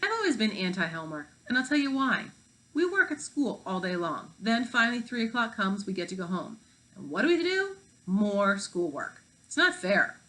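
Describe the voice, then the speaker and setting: A woman's voice: mid-range voice, big pitch swings, normal speed, a bit bright, poor audio. A cocky fifth-grade boy acted out by a woman talks bluntly and with punchy emphasis. He is a slangy storybook rebel who thinks himself the cool one. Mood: indignant.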